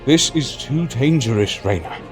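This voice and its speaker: A man's voice: medium pitch, animated, normal speed, music in the background, lightly acted. A man playing a proud, weary aging king and protective father in a fantasy audio drama, speaking with formal, stately diction. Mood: stern, alarmed.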